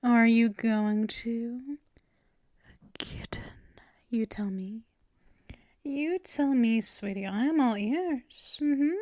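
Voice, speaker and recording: A woman voicing a possessive female vampire mistress in an ASMR roleplay, talking in soft, teasing whispers up close to the mic. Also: on the high side, no room sound. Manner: slow, drawn-out delivery.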